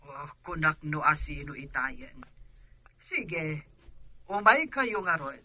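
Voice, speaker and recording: A man playing an older, insistent aunt in a radio drama, speaking in a measured, coaxing manner. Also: a little high, lively intonation, husky, no echo, full character voice. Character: older aunt, insistent and inviting toward her niece.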